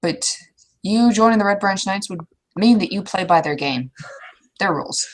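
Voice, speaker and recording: A woman's voice: mid-range voice, lively intonation, moderate pace, lightly acted. A woman playing an opinionated, experienced troll mentor in an improvised roleplay, speaking bluntly and informally as she advises. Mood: blunt, cautioning. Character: opinionated, world-wary elder troll mentor, advising a newcomer. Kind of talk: improvised in-character roleplay dialogue.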